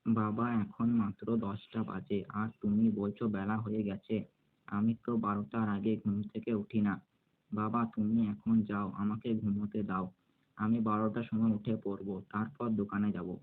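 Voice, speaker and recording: A man's voice: fairly low pitch, level tone, normal speed, natural voice. A man voicing a lazy, carefree young adult son in a cartoon, making placating excuses. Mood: sleepy, grumbling. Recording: narrow, phone-like muffled sound.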